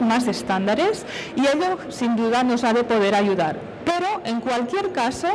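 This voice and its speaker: A middle-aged woman speaks on stage as a conference presenter, with a fairly high voice. She explains technical points methodically, framing them with questions. There is some faint background noise from the event space. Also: a little mellow, rough recording. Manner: explanatory, with self-posed questions. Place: on stage at a conference, filmed from a distance.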